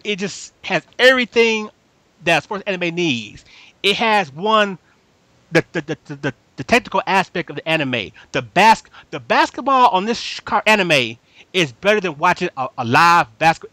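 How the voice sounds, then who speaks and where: A man's voice: high-pitched, lively intonation, moderate pace, sharp tone, no room sound. A man hosting a casual fan chat, talking emphatically in slangy speech, repeating himself and often checking that listeners follow. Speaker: podcast host reviewing anime.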